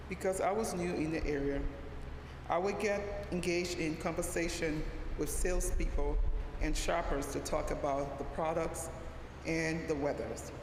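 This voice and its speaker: A middle-aged woman from Liberia, reading a sermon in a measured, deliberate way with a few restarts. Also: low-pitched. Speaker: lay preacher, social worker. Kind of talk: sermon read from a prepared text. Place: church sanctuary. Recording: echoey church hall, faint background hum.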